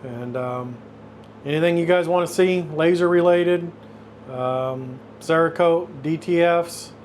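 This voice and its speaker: A man's voice: fairly high pitch, slight background noise. A man in his fifties talking casually and unscripted as a YouTube host, hesitant and self-effacing. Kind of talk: casual unscripted talk, intro and phone interview.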